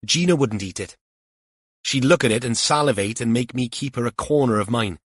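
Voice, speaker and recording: Man voicing a chatty, earnest young policeman, lifted pitch. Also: moderate pace, slightly rough, dry recording, studio quality, natural voice. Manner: rambling, literal-minded, protesting. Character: young male police officer, earnest, chatty, easily embarrassed.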